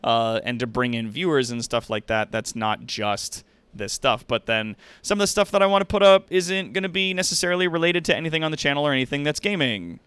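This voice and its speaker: A man's voice: fairly high pitch, fairly quick, hardly any pauses, a bit bright, slight background noise. A man hosting a livestream, chatting casually and directly to viewers with slangy, profane language. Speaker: livestream host and content creator. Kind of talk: casual stream chat.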